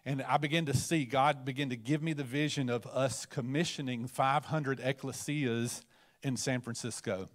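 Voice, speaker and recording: A man's voice: mid-range voice, fairly even intonation, moderate pace. Pastor telling his church's story. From Alabama, USA. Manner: storytelling, earnest, many fillers. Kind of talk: testimony and introduction. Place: church stage, indoors. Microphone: handheld mic.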